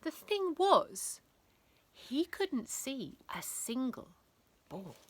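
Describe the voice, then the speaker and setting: A woman reading a children's bedtime story aloud in a theatrical, expressive way, lingering on words. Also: high-pitched, very slow, breathy edge, no room sound.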